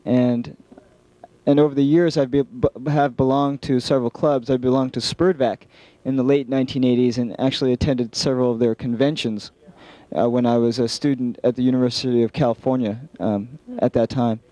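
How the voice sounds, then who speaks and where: A middle-aged American man reminiscing in an unscripted interview, with an earnest, halting way of talking. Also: mid-range voice, steady tone, dark tone, no echo. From San Francisco Bay Area, United States. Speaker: program chairman of an old-time radio club.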